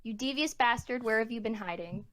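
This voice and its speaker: A woman's voice: on the high side, fairly quick, fairly bright tone. A woman hosting a Q&A in a casual, playful, giggly manner. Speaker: Q&A host.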